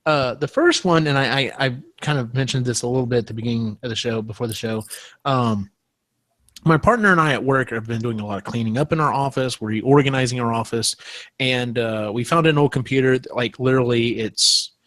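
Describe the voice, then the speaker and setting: Casual man hosting, halting delivery, dry sound. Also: medium pitch, fairly bright tone, no room sound. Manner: halting, rambling, feels for words. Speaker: podcast host. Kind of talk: casual panel chat.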